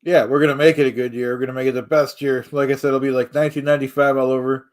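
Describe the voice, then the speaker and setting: A man's voice: mid-range voice, level tone, racing, natural voice. A man doing a laid-back sidekick. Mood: agreeable. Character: easygoing male sidekick of a hustler. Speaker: stream co-host. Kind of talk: improvised comedy stream.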